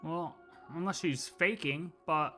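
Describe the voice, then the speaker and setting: A man's voice: fairly high pitch, slow, sharp tone. A man giving casual live commentary on a game playthrough, musing and thinking aloud. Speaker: game streamer. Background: faint game music.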